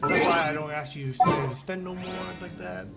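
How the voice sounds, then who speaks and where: A man's voice: on the high side, moderate pace. A man streaming a video game and chatting casually, rambling with frequent restarts. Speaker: gaming streamer. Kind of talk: casual gameplay commentary. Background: loud game music.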